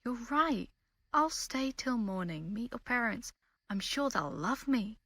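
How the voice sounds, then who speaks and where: A woman's voice: fairly high pitch, lively intonation, measured pace, fairly bright tone, no echo, exaggerated voice. A British woman playing a defiant, teasing young rebel and earnest lover in an intimate audio drama.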